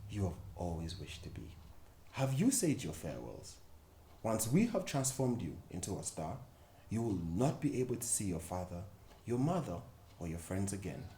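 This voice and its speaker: Regal storybook queen read by a man, low-ish, smooth-edged and mellow, cool and commanding. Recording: echoey room with faint background noise.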